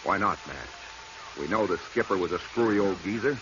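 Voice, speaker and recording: A man's voice: sits low, a little fast, a bit bright. A male actor playing an earnest, eager salvage partner with a folksy American manner and accent, in 1940s radio drama style. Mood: excited, persuasive. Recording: muffled, noisy vintage radio recording.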